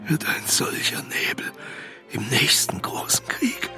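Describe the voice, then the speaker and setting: A man playing a brutal, menacing old soldier in a radio drama, clipped and gravelly, often sinking into a breathy half-whisper. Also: takes it slowly, over music, close to an everyday voice. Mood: dark, brooding.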